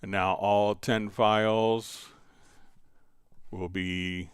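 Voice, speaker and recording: A man hosting a live stream, casually explaining software step by step while answering viewer questions. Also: lowish voice, flat delivery, drawn-out words, clear, resonant tone.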